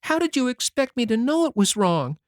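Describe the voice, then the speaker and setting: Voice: sing-song, normal speed, dry recording, clean studio sound, lightly acted. A reader voicing a mischievous six-year-old boy who pleads and questions eagerly, in an audiobook. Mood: sobbing pleas. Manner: high, eager childish pleading. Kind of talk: audiobook character voice.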